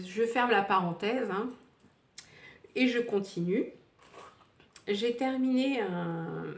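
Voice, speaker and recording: A woman in her fifties reviewing used-up beauty products in a casual, rambling way, with many fillers and restarts. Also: mid-range voice. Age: 50s. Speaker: beauty vlogger. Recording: echoey room, distant from the mic.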